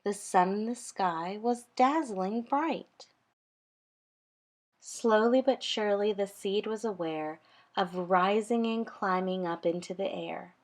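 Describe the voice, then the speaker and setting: A woman narrates a rhyming picture book for children. Her pitch sits in the middle and her pace is slow. She reads with expressive, deliberate rhythm, as a storytime reader does.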